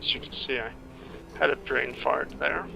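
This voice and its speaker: A man giving casual, rambling playthrough commentary on a video game, halting and trailing off mid-thought. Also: medium pitch, fairly even intonation, creaky, poor audio. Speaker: gameplay commentator, screenwriter. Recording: game music behind commentary. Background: video game music.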